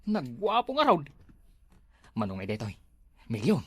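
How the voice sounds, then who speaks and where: Animated, admiring neighbour man played by an actor. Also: mid-range voice, a little slow, breathy edge, no room sound, full character voice. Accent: Ilocano. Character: admiring, envious neighbour friend eyeing a flashy car. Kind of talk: Ilocano comedy drama acting.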